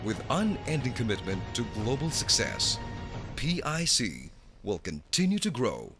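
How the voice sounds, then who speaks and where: A man's voice: medium pitch, huge pitch swings, measured pace, fairly bright tone, faint music behind. A man giving scripted corporate voice-over in a proclamatory announcing style. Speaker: narrator.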